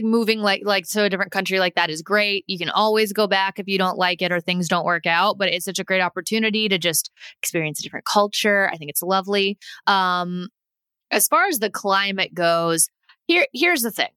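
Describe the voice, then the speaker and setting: A woman's voice: mid-range voice, lively intonation, normal speed, sharp tone, clean tone, no room sound, studio quality. A woman co-hosting a podcast, giving casual, chatty and opinionated advice in an informal way.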